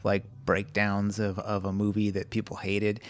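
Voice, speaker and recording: A man's voice: on the low side, completely flat delivery, moderate pace, dry recording. A man co-hosting a podcast in casual chat, rambling with many "like"s and restarts. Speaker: podcast co-host.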